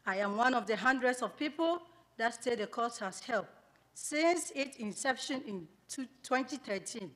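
A woman from Ghana, Twi her first language, delivers a prepared speech at a podium microphone. Her voice sits a little high, and she reads slowly and earnestly with careful pauses. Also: expressive, a bit bright. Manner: measured, earnest reading with deliberate pauses. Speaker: graduating student speaker. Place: banquet hall at a graduation celebration. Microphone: podium microphone on a stand, filmed from a far camera.

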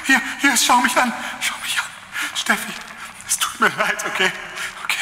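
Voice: mid-range voice, animated, normal speed, pushed and bright. An actor in a German horror audio drama playing a panicked young friend trapped in a cave, stammering and pleading urgently. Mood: desperate pleading. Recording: echoey cave reverb with clear background noise.

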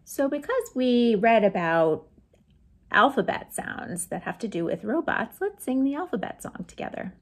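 An American woman in her forties hosting a read-aloud storytime for young children, in an animated, sing-song way with playful sound effects. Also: a little high, normal speed. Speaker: children's librarian, storytime host. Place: small lamp-lit room at home.

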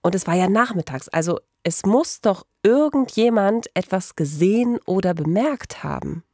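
A woman's voice: medium pitch, expressive, moderate pace, mellow, no echo, studio quality. A woman hosting a true-crime podcast, talking in an empathetic and personal conversational way. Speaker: podcast host.

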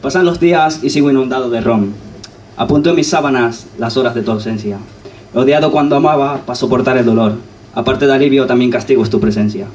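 A man's voice: medium pitch, brisk, darkish tone. A man reciting a poem live as a contestant in a poetry slam. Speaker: slam poet. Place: café stage. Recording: distant live recording, faint noise.

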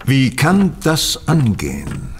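Audio drama role: probing, formal gentleman, frank and precise, average pitch, slightly noisy recording. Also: wildly swooping pitch, slow. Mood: frank, challenging. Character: polite, probing gentleman visitor, bluntly frank. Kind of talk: German audio drama acting.

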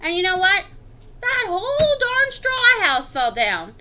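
A woman's voice: very high voice, very animated, a little slow, sharp tone, voice pushed up, exaggerated voice. A woman voicing an indignant, self-justifying wolf telling his side of a picture-book story in a chatty, confiding, theatrical way. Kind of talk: expressive picture-book read-aloud.

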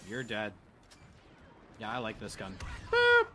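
A man's voice: fairly low pitch, normal speed. A man doing casual let's play commentary, offhand and playful, often talking to himself. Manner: offhand, muttering to himself, playful sound effects. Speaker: gaming video host. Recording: game battle noise behind voice.

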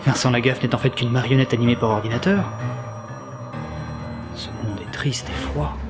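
A man's voice: mid-range voice, moderate pace, close to an everyday voice. A man playing a theatrical, mournful, disillusioned character in a comedy sketch. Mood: bleak. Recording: music behind the voice.

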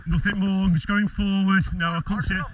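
Loud high-voiced rugby referee. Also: male voice, flat delivery, fairly quick. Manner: loud commanding calls, apologetic asides. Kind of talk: on-field refereeing calls. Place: outdoor rugby pitch. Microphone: body-worn camera mic. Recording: distant wind-exposed body-cam audio.

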